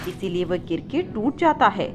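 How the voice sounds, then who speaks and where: A woman's voice: mid-range voice, very animated, moderate pace, very noisy. A woman reading a scripted children's story as its narrator.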